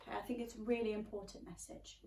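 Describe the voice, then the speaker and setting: A woman's voice: medium pitch, little pitch movement, fast, mellow, slight background noise. A woman teacher reading a children's storybook aloud, expressively addressing young listeners. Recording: roomy, slightly distant microphone.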